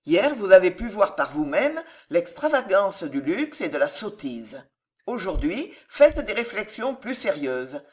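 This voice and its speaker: A woman's voice: mid-range voice, very animated, unhurried. Woman voicing a solemn, impassioned moralizing man. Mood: grave exhortation. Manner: exhorting, declamatory. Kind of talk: audiobook character voice.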